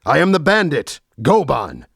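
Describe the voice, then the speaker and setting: An actor playing a bold, defiant bandit hero in dramatic video game style. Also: male voice, fairly high pitch, huge pitch swings, a little slow, creaky, a bit bright, breathy edge, no room sound, studio quality, full character voice.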